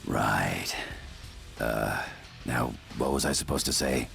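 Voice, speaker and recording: A gruff video game brawler hero with a rock-bottom voice. He growls through thick gravel, taking his words slowly. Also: a bass voice, a bit bright, faint music behind, heavily acted. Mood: gruff, unsure. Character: gruff tough-guy mutant hero, bumbling as a voice actor. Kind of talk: video game comedy skit acting.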